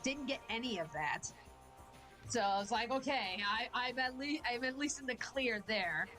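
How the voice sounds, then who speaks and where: A woman's voice: a little high, animated, normal speed, sharp tone, faint music behind. A woman from Ohio with a nasal, stuffed-up voice from a cold, chatting casually on a stream. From Ohio, USA. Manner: chatty, uses lots of fillers. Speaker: stream co-host. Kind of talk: casual chat.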